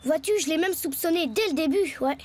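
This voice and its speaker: A woman's voice: high-pitched, fairly quick, close to an everyday voice. A woman voicing a clever, boastful young schoolboy hero in a cartoon, self-assured, playful and theatrical. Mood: smug. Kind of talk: French cartoon dubbing. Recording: music playing behind the voice.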